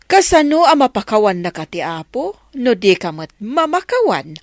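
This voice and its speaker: A woman playing a pious, forgiving mother in an Ilocano radio drama, pleading and moralizing gently. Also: a little high, sing-song, normal speed. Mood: persuasive.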